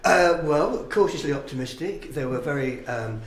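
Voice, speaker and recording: A man's voice: on the high side, lively intonation, moderate pace. Hesitant man in a very echoey room. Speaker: auditionee for a fan audio drama. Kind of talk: informal interview answers. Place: indoors in a very echoey room at an audition. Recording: very echoey room, distant mic, faint noise.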